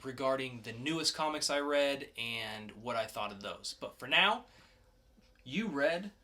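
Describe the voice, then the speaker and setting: A man with a bright, forward voice co-hosts a podcast. He talks in an informal, conversational way, as in a casual review between two hosts. His pitch sits in an ordinary middle range for a man. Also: expressive, measured pace. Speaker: podcast co-host reviewing comics.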